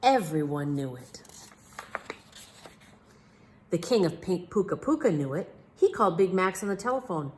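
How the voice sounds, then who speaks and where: Female storyteller, lower-toned and highly expressive, for children's picture-book read-alouds. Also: normal speed, pauses often. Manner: animated, theatrical delivery for children.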